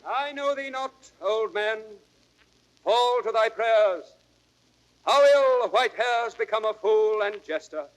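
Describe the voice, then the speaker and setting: Stern young monarch, high-pitched, measured, cold and formal, vintage radio Shakespeare declamation. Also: male voice, a bit bright, exaggerated voice, low-quality recording. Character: newly crowned young king, stern and cold. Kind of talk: 1930s radio Shakespeare acting.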